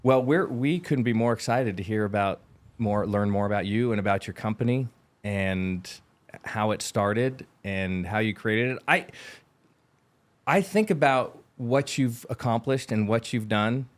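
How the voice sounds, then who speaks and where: A man's voice: on the low side, unhurried. Eager male podcast host, wordy questions. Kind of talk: casual interview chat.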